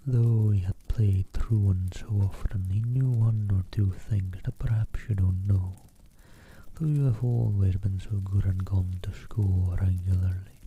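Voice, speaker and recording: A man streaming an ASMR reading, soft, whispery and unhurried, greeting viewers between passages. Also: low-pitched, fairly even intonation. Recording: tinny phone-like line, faint hiss.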